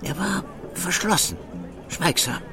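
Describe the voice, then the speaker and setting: A man's voice: high-pitched, expressive, takes it slowly, full character voice. A man acting a polite, earnest acquaintance in a radio drama, deferential and groping for the right words. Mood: hesitant, confiding. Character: hesitant, polite, earnest male acquaintance of the doctor. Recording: clear music behind the voice.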